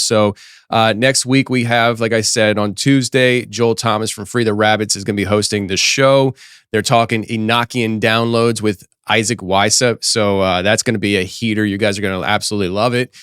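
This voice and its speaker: A man in his thirties hosting a live podcast stream, chatting casually and rambling with lots of fillers, his voice a bit hoarse from losing it. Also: on the low side, a bit bright, dry recording, clean studio sound. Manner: rambling, talkative, many fillers and restarts. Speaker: podcast host. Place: office studio.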